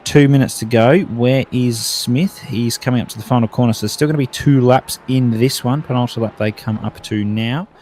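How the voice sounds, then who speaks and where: A man's voice: mid-range voice, a little fast, hardly any pauses, darkish tone, slight background noise. A man with an Australian accent calling a sim race, running through the time gaps and lifting with the on-track battles. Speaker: race commentator. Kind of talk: sim racing commentary.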